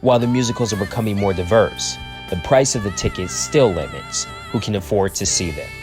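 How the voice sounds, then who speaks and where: Man narrating news, very lively pitch. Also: a little fast, over music. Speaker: news reporter.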